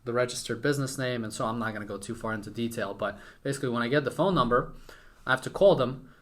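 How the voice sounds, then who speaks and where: A man's voice: mid-range voice, quick pace. A young man from Western Ukraine, a native Ukrainian speaker, chatting casually and informally as a podcast guest. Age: young adult. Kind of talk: casual conversational interview.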